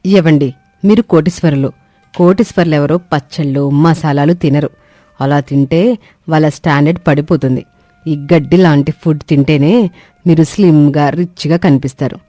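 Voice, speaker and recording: A snobbish young wife in a moral tale, played by a woman. She lays down rules in a haughty, curt way, with a mellow tone and music behind. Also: deep voice, moderate pace, no room sound. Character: snobbish, domineering young wife of a rich man.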